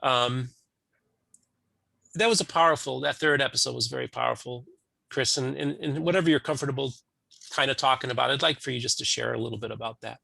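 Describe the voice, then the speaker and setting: Male interview host, bright and forceful with gravelly fry; earnest, informal, conversational, close dry sound. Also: medium pitch, brisk, no room sound.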